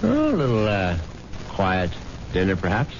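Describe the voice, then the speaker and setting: A man's voice: lowish voice, wildly swooping pitch, unhurried, background noise, heavily acted. An actor playing a smug, urbane rich widower who narrates his own scheme with dry irony in a 1970s radio drama. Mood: ingratiating. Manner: self-satisfied, urbane, drily ironic. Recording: muffled vintage radio broadcast, loud music behind.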